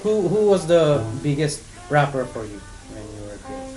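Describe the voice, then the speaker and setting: A man's voice: a little high, very animated, normal speed. Hesitant man in an echoey room with music. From Philippines. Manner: hesitant, repeats words. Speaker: host. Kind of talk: casual chat.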